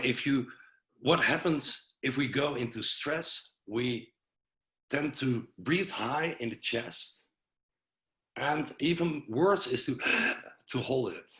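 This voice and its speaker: A man with a Dutch accent coaches listeners through slow breathing on a video call. His voice is bright and clear, his manner friendly and easygoing, and he laughs at himself now and then. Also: medium pitch. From Netherlands. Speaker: breathwork and cold exposure coach, webinar speaker. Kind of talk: guided breathing instruction in a webinar.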